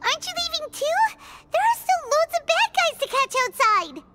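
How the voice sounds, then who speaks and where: A woman voicing a tiny, earnest, childlike companion in a video game, squeaky and eager. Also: sing-song, normal speed, heavily acted. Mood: alarmed. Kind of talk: animated video game voice acting. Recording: echoey hall, loud music behind.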